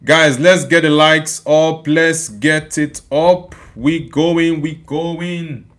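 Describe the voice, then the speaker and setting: Nigerian man slowly reacting to live football. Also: on the high side, fairly even intonation, a bit bright. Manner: casual, repeats phrases, chats with viewers. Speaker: watchalong streamer. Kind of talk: live football watchalong, reading chat.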